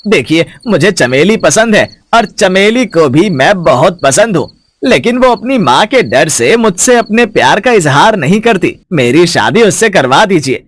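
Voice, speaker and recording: A lecherous, taunting young village villain for an audio story, acted by a man. The voice sits high for a male and is very animated, with a shameless leer. The recording is dry and close. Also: a little slow, dry recording, heavily acted. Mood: smug.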